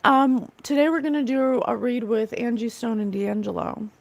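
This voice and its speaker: A fairly high-pitched woman with a gravelly, slightly rough and raw voice. She hosts a spiritual livestream in a casual, chatty way. She talks earnestly and gushes about love. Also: normal speed. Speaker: psychic medium livestream host. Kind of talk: casual livestream chat reading comments.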